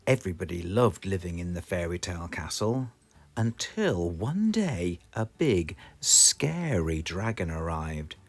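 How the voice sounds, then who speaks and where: A man with a British accent reading a children's storybook aloud in a slow, expressive, sing-song way. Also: low-pitched. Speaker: storybook reader.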